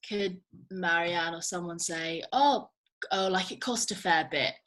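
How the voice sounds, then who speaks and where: A woman's voice: fairly low pitch, a little slow, fairly bright tone. A woman at a table read casually and tentatively offering suggestions about a script.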